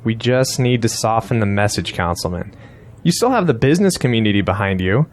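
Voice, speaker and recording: A man's voice: on the low side, expressive, brisk, natural voice. A man playing a blunt, persuasive political strategist in an audio drama, insistent and lecturing.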